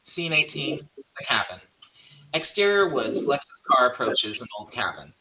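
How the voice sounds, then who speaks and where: Male narrator for screenplay directions: scripted read, medium pitch, crisp bright edge. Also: very animated, unhurried, highs cut off. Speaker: narrator reading stage directions.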